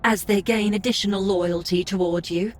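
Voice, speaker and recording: A woman voicing a cool, formal game system interface in an audiobook, announcing its notices in a measured way. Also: medium pitch, steady tone, natural voice.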